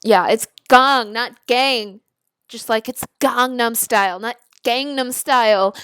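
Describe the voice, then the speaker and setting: A young woman casually reading a story aloud, with chatty, expressive, giggly asides as she reacts to it. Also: on the high side, takes it slowly, smooth, dry recording, studio quality. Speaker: YouTuber reading and reacting to fan fiction.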